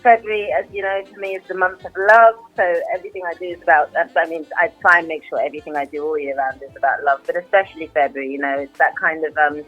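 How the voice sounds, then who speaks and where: A woman's voice: low voice, fairly even intonation, fast talker, hardly any pauses, phone-quality sound, faint music behind. A woman from Nigeria who grew up in the UK, a dentist guest on a radio interview, chatty and laughing often. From Nigeria, raised in the UK.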